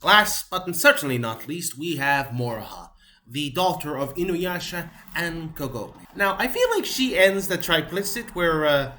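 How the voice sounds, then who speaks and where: A man's voice: on the high side, lively intonation, measured pace, pushed and bright, slight background noise. A man giving a casual, rambling spoken review, often hesitating and trailing off as he searches for words. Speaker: anime reviewer.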